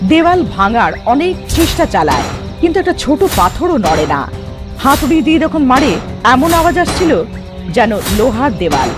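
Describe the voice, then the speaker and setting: A man narrating a scripted cartoon story in a dramatic storytelling manner. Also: very high voice. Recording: long reverb, music underneath.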